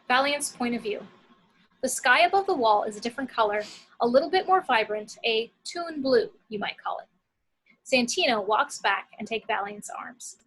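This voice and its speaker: A woman narrates a script as the reader of action lines. Her voice is on the high side and clearly bright, with a forward edge. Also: lively intonation, moderate pace, low-quality recording. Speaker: narrator reading action descriptions.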